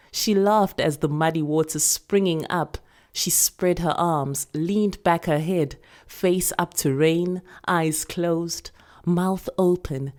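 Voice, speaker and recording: A South African woman reading a literary short story with expressive, deliberate, dramatic delivery. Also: low-pitched.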